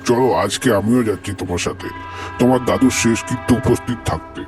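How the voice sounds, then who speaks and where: A man voicing a mysterious old stranger wrapped in a shawl, speaking in a grave, muffled, slow manner in a horror audio story. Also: medium pitch, over music, lightly acted. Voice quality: muffled, hoarse-edged.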